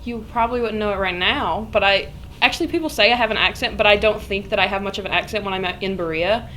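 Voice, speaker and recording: A young woman with a Kentucky Appalachian accent answers interview questions quickly and chattily. She talks at a brisk pace with a faint hum behind her. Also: medium pitch, sharp tone, poor audio. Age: young adult. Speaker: college student interviewee.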